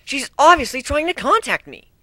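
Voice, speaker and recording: A man's voice: extremely high pitch, very animated, moderate pace, sharp tone, no echo, studio quality, pitched above the performer's own voice, heavily acted. A man voicing a bookish, earnest young female unicorn who sounds flustered and indignant, in a cartoon fan story reading. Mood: indignant, nervous.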